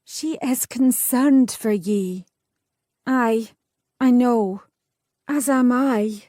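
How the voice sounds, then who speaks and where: Firm protective young sister, high and soft-toned, a woman doing her. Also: very slow, no room sound, studio quality. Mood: insistent.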